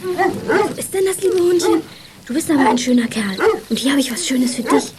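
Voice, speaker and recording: A female actor playing a gentle, earnest, animal-loving schoolgirl detective in a children's radio play. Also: high-pitched, animated, normal speed, heavy background noise. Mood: coaxing.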